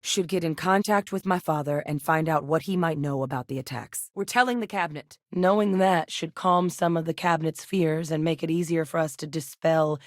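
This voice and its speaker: A woman's voice: sits low, moderate pace, hardly any pauses, no room sound, studio quality, close to an everyday voice. An actress voicing a guarded, driven woman military scientist in a space video game, speaking in a firm, earnest, matter-of-fact way.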